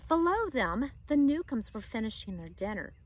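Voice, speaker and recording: A woman teacher reading a chapter book aloud to students, pausing to ask them questions. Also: fairly high pitch. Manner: expressive, asks students questions. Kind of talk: read-aloud lesson for children.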